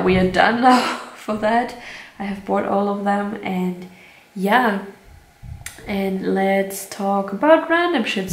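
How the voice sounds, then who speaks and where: Young woman vlogger, mid-pitched, chatty and unfiltered, recorded in a roomy space. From Germany. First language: German. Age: 20s. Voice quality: chatty, candid, laughs often, swears casually. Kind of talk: casual chat to camera. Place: at home, indoor room. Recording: roomy echo.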